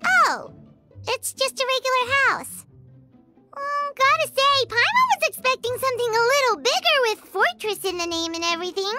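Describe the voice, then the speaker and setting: A woman's voice: very high-pitched, expressive, normal speed, bright, forceful tone, soft background music, full character voice. A woman voicing a tiny floating fairy companion who is chatty and blunt and speaks of herself in the third person. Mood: disappointed. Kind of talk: video game voice acting.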